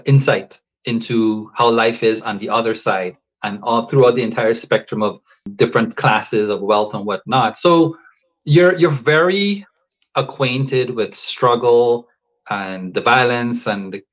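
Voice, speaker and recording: A man from Guyana speaks at a middle pitch in a reflective podcast interview. He talks in a measured, thoughtful way and often restarts his sentences. The audio sounds slightly dull and lo-fi. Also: fairly bright tone. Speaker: podcast guest, coach.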